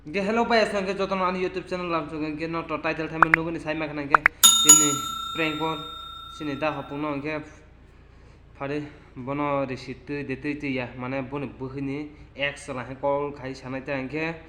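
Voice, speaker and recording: A man's voice: a little high, flat delivery, moderate pace. A man hosting a vlog, talking casually to his viewers as he introduces it. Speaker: vlogger host. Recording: roomy echo, faint background noise.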